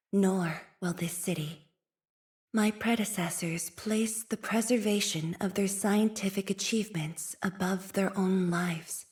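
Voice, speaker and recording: Game role: composed young female scientist-leader, played by an actress. Soft, slow, measured delivery, mid-range pitch, gently wistful and earnest. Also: natural voice. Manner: measured, formal, thoughtful phrasing. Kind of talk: video game character voice lines.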